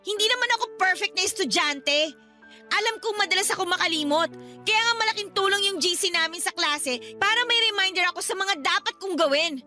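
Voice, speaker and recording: A woman's voice: very high-pitched, expressive, brisk, pushed and bright, faint music behind, full character voice. A woman playing an argumentative, aggrieved teenage younger sister with a Filipino accent in a Tagalog radio drama. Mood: indignant. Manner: whiny, argumentative.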